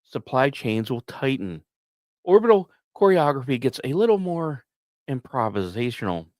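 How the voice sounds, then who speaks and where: A man's voice: mid-range voice, big pitch swings, moderate pace, a little mellow, no echo. A man hosting a podcast, reading scripted space news like a dramatic story with conversational asides. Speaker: podcast host.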